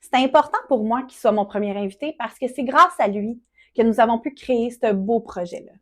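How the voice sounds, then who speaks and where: A woman's voice: fairly high pitch, fairly quick, fairly bright tone. A woman hosting a casual interview, friendly and a bit hesitant with many fillers. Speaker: interview host.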